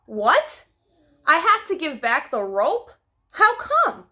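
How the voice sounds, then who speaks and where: A woman voicing an eager, excitable, naive storybook dog with childlike exclamations. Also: high-pitched, slow pace, heavily acted. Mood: eager, puzzled.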